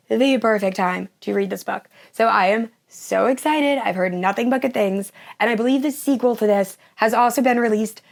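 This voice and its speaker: Young woman book vlogger, hoarse and worn after illness, higher-pitched, eager and chatty. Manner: upbeat, gushing about books. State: hoarse, voice mostly gone after flu. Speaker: book channel host. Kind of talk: casual book vlog.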